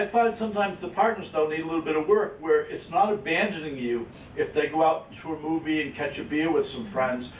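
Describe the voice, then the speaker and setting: A man speaking informally at a question-and-answer session, haltingly, repeating words as he explains with examples. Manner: halting, repeats words, illustrates with acted-out quotes. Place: echoey indoor conference room. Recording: roomy, distant mic, faint rumbling noise.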